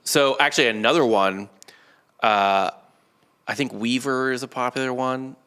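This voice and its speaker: A man with a bright, cutting voice at an average pitch hosts a history podcast. He explains things casually and conversationally, with a joking, informal delivery. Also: moderate pace, smooth. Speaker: podcast host. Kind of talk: casual comedic history chat.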